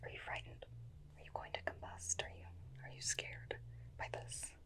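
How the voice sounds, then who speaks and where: A woman playing a chatty, suspicious, inept vampire slayer in a softly whispered ASMR roleplay, recorded close on a binaural microphone. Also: moderate pace, lightly acted. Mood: playfully interrogating. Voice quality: soft, breathy whisper. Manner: rambling, confiding, questioning.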